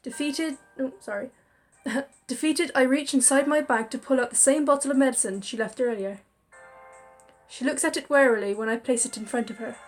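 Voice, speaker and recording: Woman reading a story over soft music. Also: sits high, moderate pace. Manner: expressive storytelling, chatty asides. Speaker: game narrator. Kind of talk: reading a visual novel aloud.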